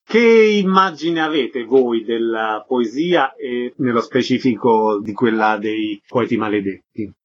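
A man's voice: medium pitch, slow pace, hardly any pauses, husky, dull audio. A man hosting a radio interview, giving introductions and putting questions to his guests.

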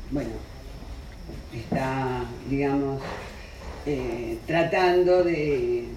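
A man's voice: a little high, dark tone. Man rambling at a panel. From Spain. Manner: rambling, self-correcting, chuckles often. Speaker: panel speaker. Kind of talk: spontaneous panel talk. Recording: roomy hall from far off, background noise.

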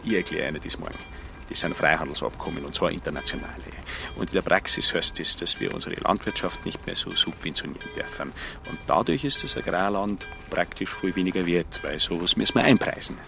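Low, creaky bank clerk. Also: male voice, animated, fairly quick. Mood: hushed, explaining. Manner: placating, bureaucratic. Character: evasive, officious savings bank clerk putting off a customer. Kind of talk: radio drama. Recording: music behind the voice.

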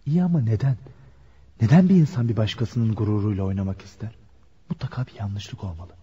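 Husky, muffled earnest young working man. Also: lowish voice, brisk, mellow. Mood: brooding. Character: sincere, lovestruck working-class young man, torn and impulsive. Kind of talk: Turkish radio drama acting. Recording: muffled lo-fi radio recording, music behind.

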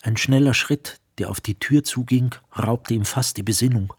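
A man narrating a literary radio play from a script in a measured, serious manner. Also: medium pitch, a little hiss or hum.

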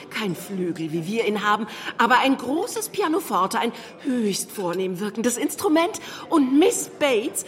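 An actress playing a gossipy, effusive society hostess who talks volubly and gushingly in a German radio drama. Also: female voice, on the high side, big-room sound.

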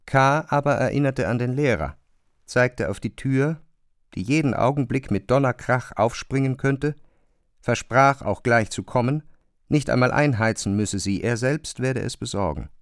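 A man's voice: mid-range voice, moderate pace, no echo, clean studio sound. A man narrating a literary radio play in German, as the storyteller between the acted scenes.

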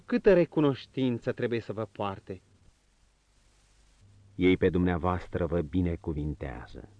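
A man voicing a calm, composed prince, low and measured, in a dull audiobook recording. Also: dry recording. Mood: serious. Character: male prince, calm, gently commanding.

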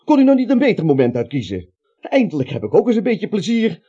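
A timid, put-upon businessman in a radio play. The voice swings widely in pitch and has a soft, mellow tone as he stammers and grumbles. The recording is dull and lo-fi. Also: wildly swooping pitch, fast, husky, exaggerated voice. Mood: defensive, exasperated. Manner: stammering, whining, protesting. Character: timid, grumbling, flustered male businessman roused at night. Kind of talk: comic radio drama acting.

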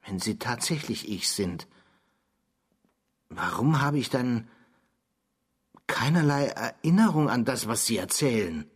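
An actor playing an anguished, doubting widowed clerk in a German radio drama, husky and defensive, speaking formally. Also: male voice, medium pitch, lively intonation, slow, long pauses. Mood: contemptuous doubt.

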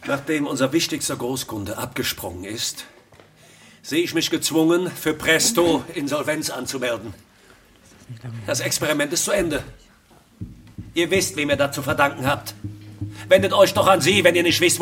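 An actor playing a bitter, theatrical boss of a failing firm, declaiming in a radio drama. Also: male voice, on the high side, normal speed, fairly bright tone, lightly acted. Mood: grave, bitter. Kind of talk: German radio drama acting. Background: faint music.

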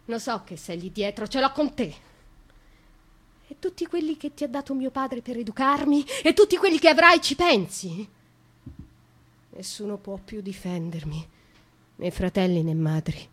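An actress playing an imprisoned young noblewoman in a theatrical radio monologue, speaking intimately and dramatically with deliberate pauses. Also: fairly high pitch, expressive, a bit bright. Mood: bitter, accusing. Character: imprisoned young noblewoman, wounded, confiding, bitterly defiant. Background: music.

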